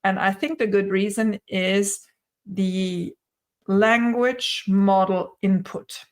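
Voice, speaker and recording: A woman's voice: medium pitch, steady tone, slow pace. A middle-aged woman speaking English as a third language, giving conversational opinion and analysis as an interview guest on a video call, often repeating words as she builds her point. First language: not English (English is her third language). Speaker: guest, retail investor commentator. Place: indoor room at home, on a video call.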